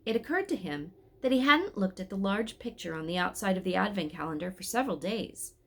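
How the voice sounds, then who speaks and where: A woman's voice: medium pitch, lively intonation, a little fast, creaky. A woman reading a story aloud in a scripted narration style.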